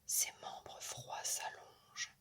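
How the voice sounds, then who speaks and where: A woman whispers a poem for ASMR in a crisp, very bright, breath-only voice. She moves extremely slowly, setting down each short phrase softly and with care. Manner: soft, deliberate whispering in short measured phrases. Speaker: ASMR poetry reader. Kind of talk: whispered ASMR reading of classic verse.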